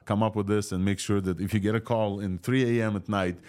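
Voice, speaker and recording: A man's voice: fairly low pitch, fairly even intonation, a little fast, darkish tone. A man with a Russian accent, a native Russian speaker from Ukraine, hosting a casual, opinionated tech news podcast. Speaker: podcast host.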